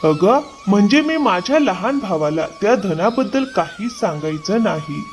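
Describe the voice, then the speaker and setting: Cartoon role: pliable, slow-witted husband played by a man, very varied intonation, over music. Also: high-pitched, huge pitch swings. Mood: uncertain, conspiring. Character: grown son, pliable and dim, in family drama. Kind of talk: dramatised cartoon moral tale.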